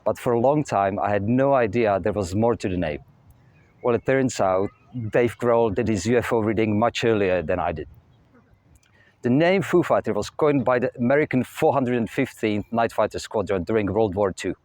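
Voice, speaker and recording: A young man with a Czech accent, hosting his own channel and telling stories in a steady, matter-of-fact way. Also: on the low side, moderate pace. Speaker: channel host. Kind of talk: scripted narration. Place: outdoors near a castle.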